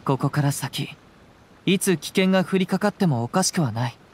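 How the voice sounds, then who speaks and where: Lightly high-voiced, calm young samurai. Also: male voice, husky. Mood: calm, deliberate. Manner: measured, archaic samurai speech. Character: calm young wandering samurai, composed and perceptive. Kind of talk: Japanese game voice acting.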